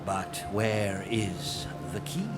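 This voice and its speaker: A man playing a haughty, evasive gentleman archaeologist in a macabre audio drama, with an arch, sardonic, pompous delivery. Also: fairly low pitch, steady tone, very slow, music in the background. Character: haughty, evasive gentleman archaeologist, scornful male rival named Stanley.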